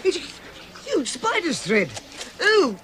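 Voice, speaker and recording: An actor playing a timid, fretful hobbit in a radio fantasy drama, stammering and talking to himself. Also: male voice, very high-pitched, wildly swooping pitch, slow, background noise, full character voice. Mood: frightened, disgusted. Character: timid, fretful hobbit finding sudden courage. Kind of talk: full-cast radio fantasy acting.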